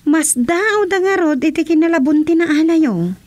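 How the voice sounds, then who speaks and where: A woman's voice: very high voice, lively intonation, measured pace, darkish tone. A woman playing a rural village mother in a radio comedy-drama, with an Ilocano accent. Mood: concerned.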